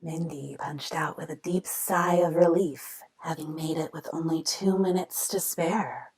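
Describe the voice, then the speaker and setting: A woman narrating a scripted radio mystery drama in a gravelly voice that drops into breathiness. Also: low voice, steady tone, a little slow, a crowd in the background, poor audio.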